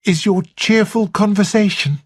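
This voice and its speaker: A reader voicing Flora, a cheerful young woman, with a raised, excited voice. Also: mid-range voice, normal speed, slightly rough, no echo, studio quality, pitched above the performer's own voice, close to an everyday voice. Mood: teasing.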